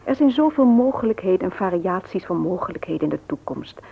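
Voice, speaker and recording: An actress playing an earnest, gently persuasive married woman in a radio play, often hesitant and soft. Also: lowish voice, animated, fast, vocal fry, husky, narrow, tinny audio, heavily acted. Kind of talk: Dutch radio play acting.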